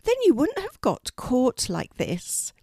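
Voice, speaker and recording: A gentle, helpful young girl from a children's story, voiced by a woman narrator. The delivery is practical and reassuring, with animated intonation and a close, dry sound. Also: high voice, slow pace, husky, no echo, voice pushed up, heavily acted.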